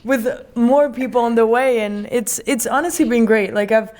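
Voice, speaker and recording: A woman's voice: on the high side, moderate pace, darkish tone. A woman hosting a casual conversation, enthusiastic and rambling, often restarting her sentences. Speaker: podcast host.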